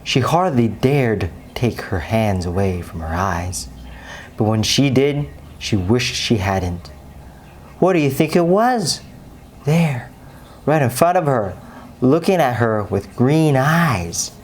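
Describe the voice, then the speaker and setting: Female storyteller for children's bedtime tale: very deep register, animated and dramatic delivery, some background noise. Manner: dramatic, with deliberate pauses and emphasis.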